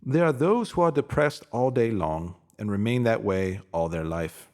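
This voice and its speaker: A man's voice: lowish voice, expressive, moderate pace, a little mellow. A man narrating a motivational spiritual essay aloud in an earnest, instructive manner.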